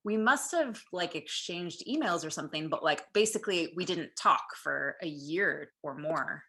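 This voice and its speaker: A woman's voice: low-pitched, animated, moderate pace, fairly bright tone. A woman, hosting a casual interview with enthusiastic, slangy talk. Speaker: interview host. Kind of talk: casual chat.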